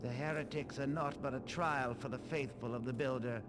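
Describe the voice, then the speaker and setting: An actor playing a stern, scornful religious brother in a video game, speaking solemn, preachy, archaic phrases theatrically. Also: male voice, medium pitch, steady tone, normal speed, music in the background, natural voice. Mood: fervent, condemning.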